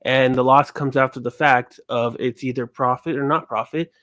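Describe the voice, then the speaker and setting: A man giving casual financial analysis as a panelist, explaining in a halting way. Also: mid-range voice, fairly even intonation, fairly bright tone, no echo.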